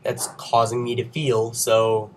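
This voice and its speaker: A man's voice: medium pitch, monotone, slow pace, a little hiss or hum, rough recording. A young man with a cold and a congested voice, giving an informal science explainer with wry, nerdy, self-joking asides. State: under the weather with a cold. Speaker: presenter of an educational video.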